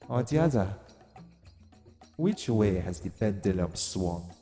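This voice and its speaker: A man playing an exiled god of vengeance in an audio roleplay, theatrical and deliberate, coaxing and taunting with dark amusement. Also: fairly low pitch. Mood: taunting, amused. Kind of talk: dramatic audio roleplay monologue to the listener. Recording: long cavernous reverb. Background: music.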